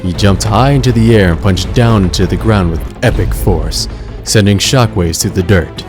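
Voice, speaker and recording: Deep-voiced man narrating over music. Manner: measured, suspenseful. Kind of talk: scripted dramatic story narration. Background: loud music.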